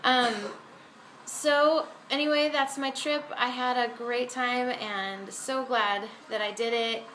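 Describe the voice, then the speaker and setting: An American woman talking casually in a personal video blog, telling her story in a halting, rambling way. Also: fairly high pitch, steady tone, fairly bright tone. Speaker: video blogger, amateur singer. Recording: echoey room, faint background noise.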